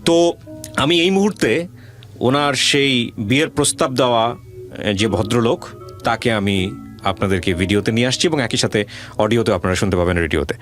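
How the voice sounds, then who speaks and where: A man's voice: medium pitch, normal speed, long pauses, soft background music. A Bangladeshi man hosting a conversational radio interview, asking probing questions and repeating answers back. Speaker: radio show host interviewing guests.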